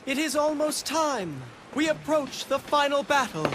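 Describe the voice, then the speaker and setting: An actor playing a vain, effeminate warrior in a video game cutscene, theatrical, airy and preening. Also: male voice, very high-pitched, lively intonation, unhurried, a bit bright, a little hiss or hum, exaggerated voice. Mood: coy, theatrical. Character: vain, flamboyant, effeminate warrior obsessed with beauty.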